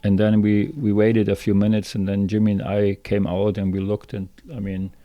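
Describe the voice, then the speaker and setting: Man, German Bavarian accent, flat delivery, halting with fillers, dry close recording. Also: on the low side, no echo, dull audio. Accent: German, from southern Bavaria. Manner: halting, many fillers and restarts. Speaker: guest, fishing guide. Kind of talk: casual interview storytelling.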